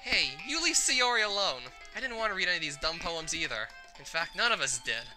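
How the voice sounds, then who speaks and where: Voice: mid-range voice, lively intonation, a little fast, music in the background, voice pushed up, close to an everyday voice. A performer voicing a brash, feisty, sarcastic teenage girl with a blunt manner in a visual novel. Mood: indignant. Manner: blunt, indignant.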